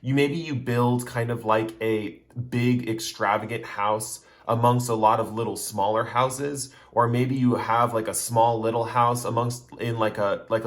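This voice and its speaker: A man giving a casual pick-a-card tarot reading, talking straight to the viewer in a loose, rambling way that restates its points. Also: mid-range voice. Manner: chatty, speaks straight to the viewer. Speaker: tarot reader.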